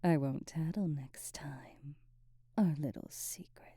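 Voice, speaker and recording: A woman acts out a silky, scheming sorceress from a fantasy ASMR roleplay, with a low, very soft and dark voice. She speaks slowly, teasing and insinuating in ornate courtly phrases. The sound is close and dry. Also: husky, no room sound, full character voice. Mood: silky, conspiratorial. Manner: theatrical, teasing, insinuating, ornate formal diction. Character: sly, silky, manipulative female sorceress advisor to a queen.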